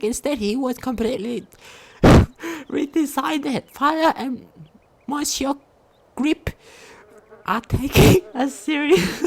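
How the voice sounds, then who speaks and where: A woman's voice: sits high, a little mellow. A woman reading a diary aloud during a game playthrough, sometimes stumbling over longer words. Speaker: game commentator.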